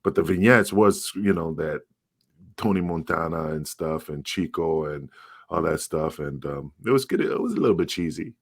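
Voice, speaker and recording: A very deep-voiced man chats casually on a podcast, cursing freely and telling animated stories. The sound is close and dry. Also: moderate pace, creaky, no echo. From Puerto Rican. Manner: profane, animated storytelling with laughs. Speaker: podcast co-host, former referee.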